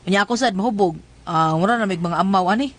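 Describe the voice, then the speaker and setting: A woman's voice: mid-range voice, fast talker, lightly acted. A woman playing a tough, brash tomboyish wife with blunt, slangy, swaggering talk in a comedic radio drama.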